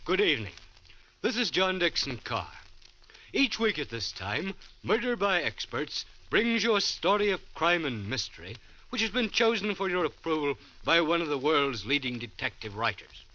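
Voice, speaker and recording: A man's voice: on the high side, big pitch swings, moderate pace, sharp tone. A man hosting and narrating a 1940s radio mystery in a formal, dramatic scripted style. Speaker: host and narrator. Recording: dull vintage radio recording, music behind.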